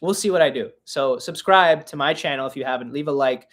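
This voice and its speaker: A man's voice: mid-range voice, a little fast, a bit bright. A man in his twenties hosting a casual live-stream Q&A podcast, chatty and self-mocking as he reads the chat aloud. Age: 20s. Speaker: podcast host.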